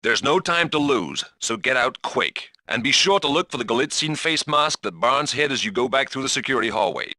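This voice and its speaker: Spy game briefing boss, really sharp voice, lively, dry studio sound. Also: male voice, medium pitch, a little fast, hardly any pauses, dry recording. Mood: urgent. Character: authoritative male spy mission controller giving briefings. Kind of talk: scripted game mission briefing.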